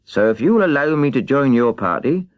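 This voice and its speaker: Storybook tin man, played by a male reader: gentle, courteous, earnest, swooping pitch, slightly dull recording. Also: sing-song, fairly quick, no room sound, lightly acted. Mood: earnest, hopeful. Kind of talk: children's storybook character reading.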